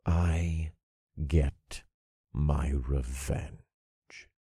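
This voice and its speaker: A man voicing a cruel, gloating dark lord in an audiobook, commanding, taunting and full of contempt. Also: low-pitched, lively intonation, a little slow, no echo, clean studio sound, heavily acted. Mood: quiet menace.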